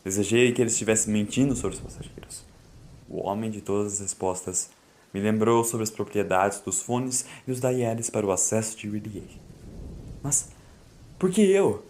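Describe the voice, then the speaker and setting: A man's voice: fairly low pitch, steady tone, normal speed, slight background noise. A man with a Brazilian accent narrating a horror audiobook in a solemn, theatrical way. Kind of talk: dramatic scripted horror narration. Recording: loud music under the voice. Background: loud music.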